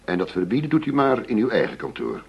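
An actor in a Dutch radio play playing a blustering, fed-up police chief who talks bluntly, with sarcasm and combative demands. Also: male voice, fairly low pitch, huge pitch swings, fast, creaky, slightly rough, heavily acted. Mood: angry, demanding. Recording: dull, muffled radio drama recording.

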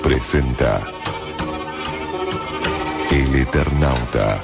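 Male announcer: extremely low, slow, portentous title read with music swelling loudly underneath. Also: vocal fry. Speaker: radio announcer. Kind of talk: scripted programme title announcement.

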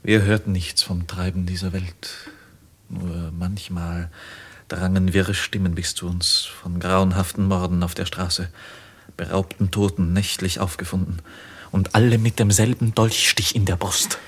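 Tormented young craftsman in love, low voice, very rough and husky, theatrical confession, vintage radio play. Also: flat delivery, measured pace. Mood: heavy, recounting. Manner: theatrical, declamatory, swinging from hushed to impassioned. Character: anguished young goldsmith's journeyman, devoted lover, confessing. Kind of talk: 1950s German radio drama acting. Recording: muffled vintage 1950s radio recording.

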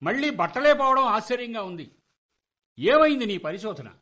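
A sharp, high-pitched, exasperated middle-aged dad played by a man in a radio comedy. He scolds with wry, theatrical sarcasm. The sound is slightly dull and lo-fi. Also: sing-song, normal speed, exaggerated voice. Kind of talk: Telugu comedy radio play acting. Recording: dull lo-fi radio play recording.

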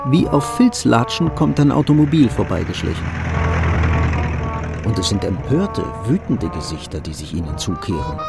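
An actor as the wry, observant narrator of a radio drama, telling the story vividly and with irony. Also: male voice, on the low side, big pitch swings, moderate pace, vocal fry, over music. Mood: vivid storytelling.

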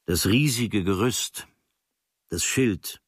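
A man playing an observant writer, reading his travel diary as a radio drama in measured, clipped literary phrases. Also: fairly low pitch, husky, no room sound, studio quality. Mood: measured, reflective.

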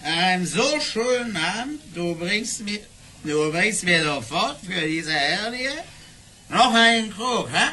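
A man's voice: high-pitched, expressive, slow pace, sharp tone, full character voice. Merry drunk mayor, drags his words, voice swings around, noisy old tape. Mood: tipsy, pleading. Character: jolly tipsy town mayor begging for drink. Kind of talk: theatrical radio play acting. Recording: noisy old cassette audio.

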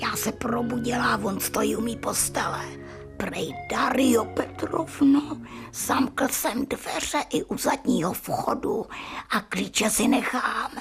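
An actress playing an agitated female servant in a radio drama, speaking in a hushed, colloquial way. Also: fairly high pitch, vocal fry, slightly rough, in a whisper, faint music behind, heavily acted. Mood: frightened, hushed.

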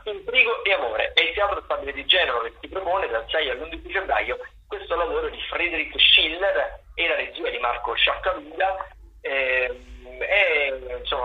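A man presenting theatre listings as a radio contributor, improvising and pausing to search for words. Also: medium pitch. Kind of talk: semi-improvised spoken review of listings. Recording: narrow tinny phone line, noisy.

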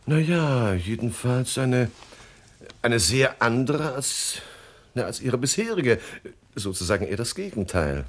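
A man's voice: medium pitch, very animated, unhurried. A man playing a sly, evasive recruiter in a radio crime comedy, talking in a hesitant, coaxing, circling way. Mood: coaxing, hedging. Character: sly, evasive middleman recruiting for a shady job. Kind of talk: 1970s German radio crime comedy acting.

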